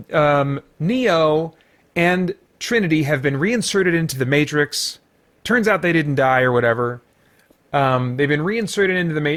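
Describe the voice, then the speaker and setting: Rambling man, slightly high voice. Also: a bit bright. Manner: rambling, emphatic, halting with repeated false starts. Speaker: podcast host and film reviewer. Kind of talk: unscripted movie review commentary.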